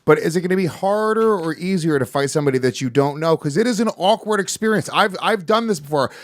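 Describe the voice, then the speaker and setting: A man hosting a podcast and introducing a fighter, talking in a quick, questioning way. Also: a little high, lively intonation. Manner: hype announcer, then quick questions. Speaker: podcast host. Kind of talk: casual podcast chat, hosting a fight promo.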